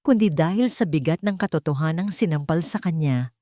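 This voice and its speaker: A woman's voice: low-pitched, expressive, fairly quick, mellow, no echo, studio quality. A woman narrator reading a scripted story in a dramatic style.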